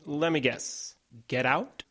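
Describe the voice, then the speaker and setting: Actor as direct, higher-voiced rehearsal leader. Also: male voice, takes it slowly, fairly bright tone. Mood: serious. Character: matter-of-fact male radio theater colleague running rehearsal. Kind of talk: naturalistic audio drama acting.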